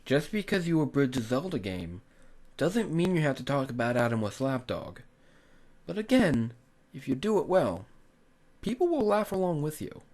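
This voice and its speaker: A man's voice: a little high, sing-song, moderate pace, a little mellow. A man narrating a scripted tutorial as its host, instructing the viewer directly and with emphasis. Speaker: host of a tutorial on making abridged series.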